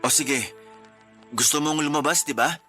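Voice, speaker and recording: A man playing a protective, jealous young husband in a radio drama, speaking calmly and gently persuasively. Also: a little high, moderate pace, soft background music. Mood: tender.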